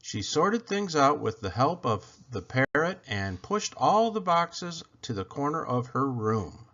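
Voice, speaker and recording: Male storyteller for children's picture book, mid-pitched and very expressive, slightly muffled lo-fi sound. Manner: expressive, deliberate storytelling delivery. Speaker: read-aloud storyteller. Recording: dull lo-fi recording.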